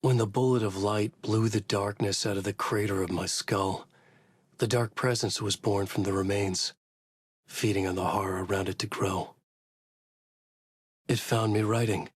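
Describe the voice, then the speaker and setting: An actor playing a tormented thriller writer trapped in a horror story in a video game, with a grave, introspective, intense delivery and a husky voice. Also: male voice, lowish voice, steady tone, normal speed, no echo, clean studio sound. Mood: brooding, reflective. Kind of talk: brooding dramatic game dialogue and noir monologue.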